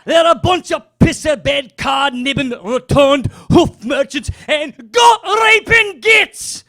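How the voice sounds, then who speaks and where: Rowdy man shouting a put-on Scottish rally speech. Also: very high voice, animated, takes it slowly, vocal fry. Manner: boisterous, shouting rally-style speech to a crowd. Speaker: comedy performer. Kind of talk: rowdy medieval-character stand-up.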